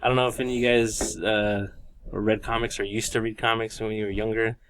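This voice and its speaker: A man's voice: fairly low pitch, level tone, fairly quick, a bit bright, clear, resonant tone. A man of about thirty hosting a podcast in casual, informal group chat. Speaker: podcast host.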